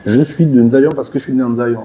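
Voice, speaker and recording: A man's voice: mid-range voice, steady tone, brisk, warm tone, highs cut off. A man from Gabon, a party leader, answering pointed questions in a political TV interview, assertive and growing emphatic as he argues. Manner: assertive, rising emphatic when arguing.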